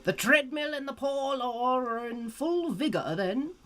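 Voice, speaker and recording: A woman's voice: high voice, animated, unhurried, fairly bright tone, pitched above the performer's own voice. A woman voicing a miserly old man in a storybook reading, with a curt, sardonic delivery.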